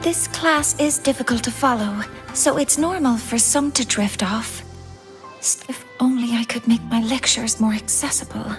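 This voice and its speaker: An actress playing a gentle, self-doubting young woman who teaches at an academy, speaking reflectively in a video game drama. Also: on the high side, normal speed, natural voice. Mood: troubled, reflective. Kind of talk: video game cutscene voice acting, dramatic dialogue. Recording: faint music behind the voice.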